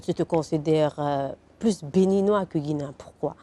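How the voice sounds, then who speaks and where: Woman, talk show host, low voice, mellow tone, probing questions. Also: normal speed. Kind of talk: interview.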